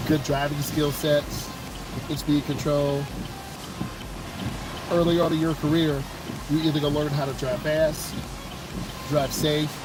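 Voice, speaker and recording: A man with a stuffed-up cold calmly explains his driving to viewers while he drives a car in slow traffic. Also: fairly high pitch, distant mic. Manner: calm, unhurried, explanatory. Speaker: driving instructor. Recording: music loud behind the voice.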